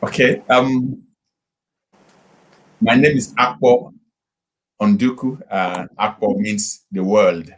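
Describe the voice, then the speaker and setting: A middle-aged man from Nigeria speaks in a very low, husky voice. He tells his story at an easy pace, full of fillers and restarts. The call audio carries the light compression of a video chat. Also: low-quality recording. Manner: unhurried, with frequent fillers and restarts. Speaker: pastor and guest. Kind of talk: casual interview storytelling.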